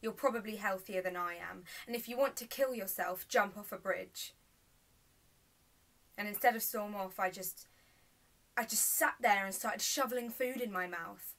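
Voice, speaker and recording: A narrator confides painful memories in a halting, understated way, acted by a woman in a stage monologue. The delivery is naturalistic, with a creaky edge to the voice. It is picked up from some distance off the microphone. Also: mid-range voice, steady tone, a bit bright. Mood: bitter, furious. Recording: roomy self-tape, mic at a distance.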